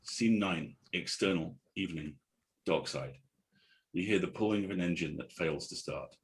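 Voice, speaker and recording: A man with a low-pitched voice narrates an audio drama script. He reads scene headings and action lines. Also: moderate pace. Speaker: narrator at a table read.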